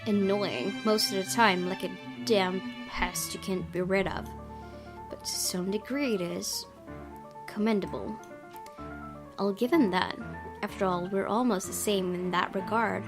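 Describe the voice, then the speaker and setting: A woman's voice: mid-range voice, steady tone, unhurried. A woman reading a visual novel aloud as first-person dramatic narration. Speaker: gaming video host reading aloud. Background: game music.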